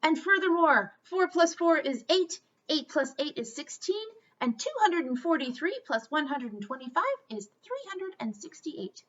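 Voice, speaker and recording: Storybook bully: big young girl capybara, high-pitched, loud and boastful, acted by a woman, on a dull recording. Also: animated, normal speed, few pauses. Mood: brash, shouting. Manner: loud, brash, interrupting show-off.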